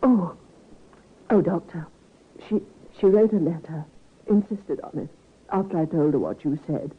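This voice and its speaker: An actress playing a proper, guarded nurse with formal, precise speech, in old-time radio style on a tinny recording. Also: female voice, lowish voice, a little slow, husky. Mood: grieving, fragile.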